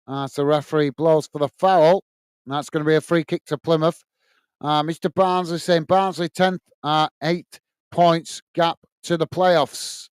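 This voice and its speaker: A man's voice: a little high, level tone, a little slow, clean tone, no echo. A man with a strong Lancashire accent hosting a live football watch-along, calling the play and reading out viewer comments in a chatty way with lots of "uh"s. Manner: chatty, reads out chat messages with filler "uh".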